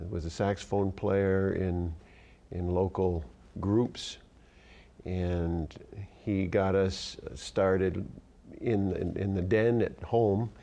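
Deep gravelly man in his fifties. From Northern New York. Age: 50s. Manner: soft-spoken, reflective, pauses often. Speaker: music educator guest. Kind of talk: relaxed interview answers.

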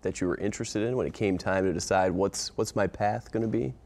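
A man hosting a community podcast, reading a scripted intro and then interviewing guests in a friendly, measured way. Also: low voice, darkish tone. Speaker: podcast host.

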